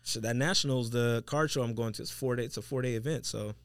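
A man's voice: medium pitch, fairly even intonation, fast talker, clear, resonant tone, dry recording, studio quality. A man co-hosting a casual sneaker podcast, informal and opinionated in how he talks. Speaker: podcast co-host.

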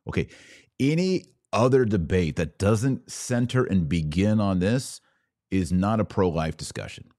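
Deep-voiced American man, emphatic commentator. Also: lively intonation, mellow. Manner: emphatic, deliberate, repeating words for stress. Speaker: podcast host and political commentator. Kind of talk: opinion monologue to camera.

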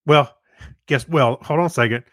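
A man's voice: on the high side, normal speed, dry recording, studio quality. A man co-hosting a podcast, giving informal opinions in a rambling, hesitant, conversational way. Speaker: podcast co-host. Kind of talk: casual chat, informal reviews.

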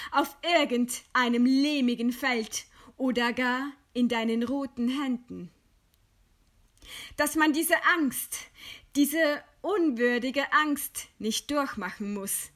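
A woman acts out a condemned idealist in a radio drama, proud and resigned. The voice is fairly high and very slow. Each phrase is measured and solemn, declaimed with dignity. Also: fairly bright tone. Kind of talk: literary radio play acting.

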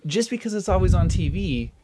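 A man's voice: high-pitched, lively intonation, a little fast, heavy background noise. A man in a casual interview as the guest, an opinionated enthusiast who likes explaining details. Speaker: interview guest, TV DVD website founder.

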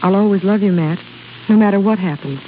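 A woman acts out an anguished young sweetheart, devoted and torn, in a 1930s radio play. Her voice sits at a medium pitch. The sound is narrow and faintly noisy, like an old broadcast. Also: brisk, natural voice. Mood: tender sorrow. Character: anguished, loyal young woman torn between love and father. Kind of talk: 1930s radio drama acting.

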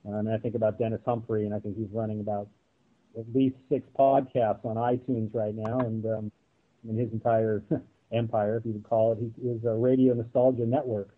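A man's voice: fairly low pitch, flat delivery, a little fast. A man speaking reflectively and hesitantly as a panelist in a casual discussion. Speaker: guest panelist, audio drama producer. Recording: narrow, tinny phone-like line.

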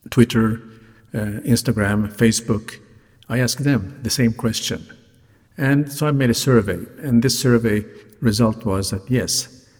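A man who is a non-native English speaker hosts a solo podcast, articulating carefully and deliberately in a slightly raspy voice. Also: lowish voice, a little mellow. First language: not English. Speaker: podcast host, hotelier. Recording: reverberant hall-like sound, music behind.

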